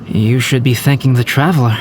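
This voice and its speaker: A man playing a composed, polite young painter and scholar in an anime video game, calm and understated. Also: mid-range voice, fairly quick, background noise, lightly acted. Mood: modest.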